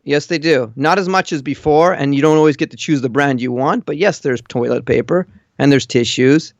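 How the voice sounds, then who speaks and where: Over a dull, dry internet call, a man speaks in a gravelly, fry-heavy voice. He chats casually as a caller, giving blunt, matter-of-fact opinions. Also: a little high, normal speed, no echo. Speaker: caller living in Japan. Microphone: online voice call.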